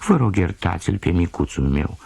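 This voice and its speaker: Un bărbat care face o cățelușă-mamă văicăreață, foarte mlădiată. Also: male voice, low voice, very animated, gravelly, a little mellow, husky, dry recording, exaggerated voice. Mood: contrite pleading. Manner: lamenting, pleading. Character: contrite, wailing mother dog, gossipy and easily shocked. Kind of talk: children's audio story.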